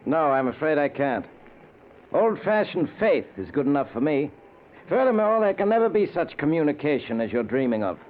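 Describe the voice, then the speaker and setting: An actor playing a stern, skeptical older foundation head in a 1940s radio drama, emphatic and scornful. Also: male voice, a little high, very animated, moderate pace. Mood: scornful, firm. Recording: tinny, noisy old radio recording.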